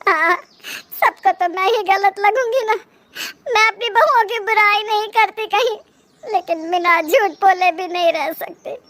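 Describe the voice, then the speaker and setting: A woman's voice: extremely high pitch, measured pace, a bit bright, pitched above the performer's own voice. A woman voicing a plaintive, martyred elderly mother-in-law who complains in a cartoon moral story. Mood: self-pitying.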